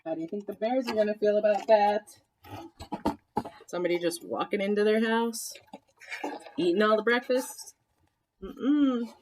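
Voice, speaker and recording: A woman narrates a children's storybook at a slow, deliberate pace. Her pitch is mid-range for a woman, and she tells the tale expressively, as a teacher reads to young listeners. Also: darkish tone, low-quality recording. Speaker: art teacher reading aloud to children. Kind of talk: storybook read-aloud narration with asides.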